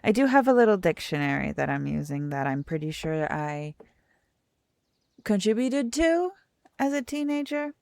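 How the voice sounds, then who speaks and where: A woman's voice: fairly low pitch, sing-song, moderate pace, gravelly, a little mellow, no room sound, clean studio sound. A young adult woman streaming a game, chatting in a rambling, self-correcting way and reading the text aloud. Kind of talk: casual let's-play chat and reading game text aloud.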